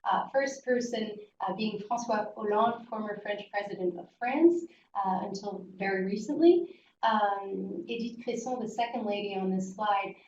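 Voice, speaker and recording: A woman's voice: mid-range voice, normal speed, darkish tone. Woman presenting, distant in a roomy space. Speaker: webinar presenter for a business school. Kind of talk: informal slide presentation. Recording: muffled, roomy, distant mic, faint noise.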